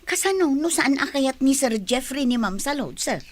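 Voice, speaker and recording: A woman's voice: high-pitched, animated, moderate pace, dry recording. A woman playing a curious, deferential employee in a radio drama. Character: curious, deferential female employee addressing her boss.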